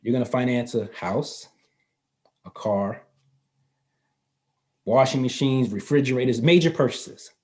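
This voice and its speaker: A man in his fifties talks through financial ideas in an easygoing, explanatory way. His voice sits at an ordinary middle pitch, and he laughs readily, sometimes restarting his phrases. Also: a little slow, pauses often, darkish tone. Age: 50s. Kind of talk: casual interview explanation. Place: North Carolina.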